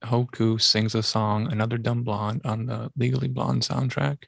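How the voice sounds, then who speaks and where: A man co-hosting a casual podcast, tossing out references and puns in a deadpan way. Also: medium pitch, moderate pace, creaky, no echo. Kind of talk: casual talk, trading music and film references.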